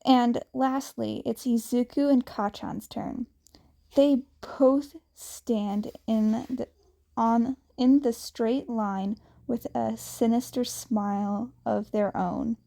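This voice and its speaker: A woman reading a fanfiction story aloud, slowly and haltingly, with some restarts, and she raises her pitch when she voices the characters. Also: fairly even intonation, gravelly, warm tone. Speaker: fanfiction reader.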